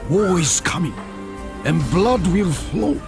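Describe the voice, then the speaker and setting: An actor playing a solemn, proud narrator of samurai history, giving dramatic, emphatic video game cutscene narration. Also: male voice, sits high, big pitch swings, takes it slowly, loud music behind the voice, full character voice. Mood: ominous.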